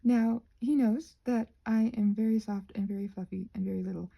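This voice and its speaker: A woman rambling casually to her viewers while crafting, hesitant and self-deprecating, trailing off and often slipping into a breathy near-whisper. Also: mid-range voice, fairly even intonation, normal speed, highs cut off. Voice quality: breathy, husky with creak.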